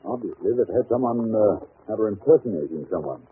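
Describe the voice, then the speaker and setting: An actor playing a shrewd, composed gentleman detective in old-time radio drama style, assured, analytical and given to lecturing. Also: lowish voice, expressive, a little fast, breathy edge, narrow, tinny audio. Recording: thin, noisy vintage radio recording.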